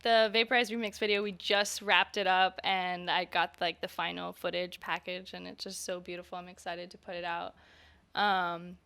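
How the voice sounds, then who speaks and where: A woman's voice: on the low side, little pitch movement, normal speed, creaky, sharp tone, clear, resonant tone. Woman chatting casually in an interview. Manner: informal, frequent "like" and "kinda". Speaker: musician and singer being interviewed.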